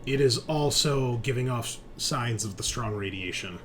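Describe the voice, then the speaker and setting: A man narrating a tabletop roleplaying game as game master, improvising with pauses. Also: mid-range voice, a bit bright, a little hiss or hum. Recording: music playing under the voice. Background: music.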